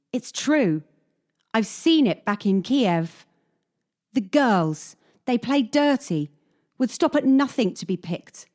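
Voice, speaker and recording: A woman's voice: mid-range voice, darkish tone. A woman playing a cynical, hardened young gymnast from Kyiv in a radio play, blunt and world-weary. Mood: matter-of-fact.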